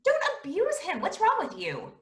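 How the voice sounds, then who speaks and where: Casting: actress as sarcastic lady cop, audio comedy, very high bright voice, distant roomy miking. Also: huge pitch swings, normal speed, exaggerated voice. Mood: exasperated. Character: exasperated, sarcastic female law officer. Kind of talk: comedic audio drama acting.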